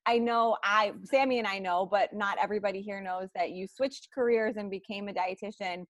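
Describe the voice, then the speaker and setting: A woman's voice: medium pitch, fairly even intonation, fairly quick, fairly bright tone, dry recording. A woman hosting a podcast, asking long, rambling, friendly questions in a casual chat. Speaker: podcast host.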